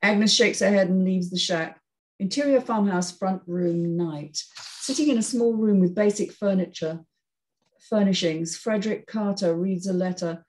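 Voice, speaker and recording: A woman's voice: mid-range voice, moderate pace, darkish tone, poor audio. A woman narrating and hosting a script reading, reading out screenplay stage directions. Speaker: narrator and panel host.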